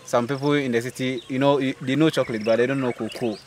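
A man's voice: medium pitch, fairly even intonation, fast talker, a crowd in the background. A man in his thirties with a Ghanaian accent, a farmer patiently explaining crop stages outdoors in a field. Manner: patient, explanatory, checks understanding. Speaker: maize farmer. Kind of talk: explaining farming in an interview. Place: open farm field outdoors. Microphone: host's handheld phone.

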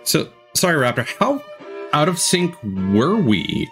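A man's voice: fairly high pitch, very animated, unhurried, fairly bright tone. A man hosting a live stream with casual reaction commentary, music playing behind him. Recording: music and trailer audio behind. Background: game showcase music.

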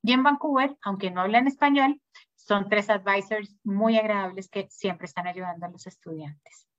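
A woman's voice: mid-range voice, moderate pace, no room sound, highs cut off. A woman presenting study abroad programs in an upbeat, informative sales talk. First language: Spanish. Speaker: school sales manager presenting. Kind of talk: webinar presentation.

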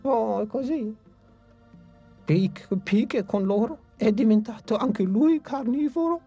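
A woman voicing a calm, wise long-necked dinosaur in a cartoon dub. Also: on the high side, takes it slowly, husky, exaggerated voice. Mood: worried. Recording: music faintly under dialogue.

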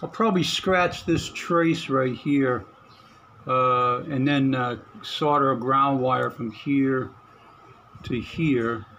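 A man giving casual, unscripted how-to commentary on an electronics project, halting as he thinks aloud. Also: medium pitch, pushed and bright, slight background noise. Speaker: hobbyist narrating an electronics modification. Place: indoors at a work table.

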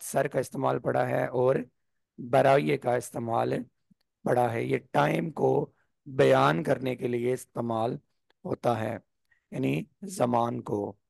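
A man with a sore throat teaching a language class over a video call, explaining slowly and clearing his throat often. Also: medium pitch, darkish tone, no room sound. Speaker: Persian teacher. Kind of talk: online class lesson.

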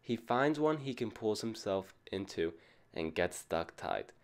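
Low-pitched man reading a children's storybook. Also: sing-song, unhurried. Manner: asks young listeners questions as a teacher would. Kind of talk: children's picture book read-aloud.

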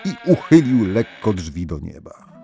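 A man narrating folk legends in an expressive, theatrical storytelling manner. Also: on the low side, a little slow, creaky, slight background noise.

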